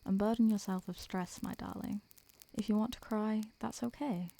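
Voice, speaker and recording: A woman playing an ancient, doting succubus in an intimate roleplay audio, speaking softly and gently in an affectionate, unhurried way. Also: mid-range voice, fairly even intonation, creaky. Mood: tender, soothing.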